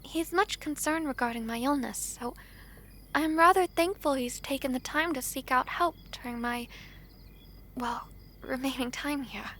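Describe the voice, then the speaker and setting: A woman playing a gentle, earnest young princess in a romantic fantasy audio drama, speaking softly and formally. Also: high-pitched, slight background noise. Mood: warm, grateful. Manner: soft, formal, measured diction with tender pauses.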